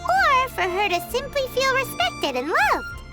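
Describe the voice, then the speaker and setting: Tiny childlike fairy sidekick, squeaky high, swooping intonation, blunt, bossy, cute anime game style, playful music behind. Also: female voice, wildly swooping pitch, heavily acted. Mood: helpful. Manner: cute, emphatic, drawn-out exclamations. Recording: studio voice over game music. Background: playful tinkling music.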